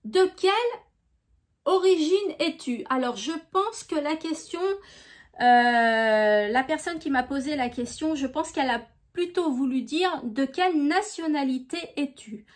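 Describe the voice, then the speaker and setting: Slow-talking high-voiced French woman. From France. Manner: slow, gentle and chatty, with many hesitations. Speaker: YouTube crafts channel host. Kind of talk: casual FAQ video answering viewer questions.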